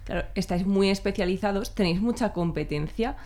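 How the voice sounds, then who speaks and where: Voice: medium pitch, fairly quick, a little mellow. A podcast host interviewing a guest in a conversational way, asking long questions that end in tag questions.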